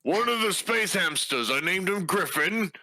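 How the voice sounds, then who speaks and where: Male tabletop player. Higher register, bright forceful tone, casual and very lively. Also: unhurried, creaky, no room sound. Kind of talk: casual game chat.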